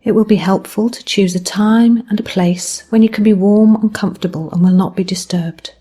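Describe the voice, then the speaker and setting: A woman guiding a body scan meditation as a mindfulness teacher, speaking gently in measured phrases that end softly. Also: medium pitch, fairly even intonation, husky.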